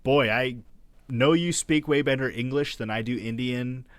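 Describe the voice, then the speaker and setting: A man's voice: medium pitch, moderate pace, smooth, no echo. A man co-hosting a podcast. He chats in an easygoing, encouraging way and swears casually. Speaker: podcast co-host. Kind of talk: casual chat.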